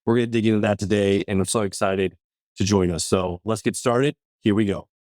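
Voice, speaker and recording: An upbeat man hosts a podcast with a lowish voice, chatting casually and leaning on fillers. The sound is dry and close to the mic. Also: steady tone, brisk, darkish tone, no echo. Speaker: podcast host.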